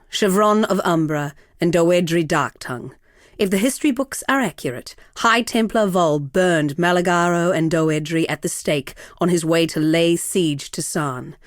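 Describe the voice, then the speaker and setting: An actress playing a proud, seductive, unrepentant villainess sorceress in a video game, with a measured, theatrical, sardonic delivery. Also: female voice, lowish voice, no room sound, clean studio sound. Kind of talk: dramatic video game character acting.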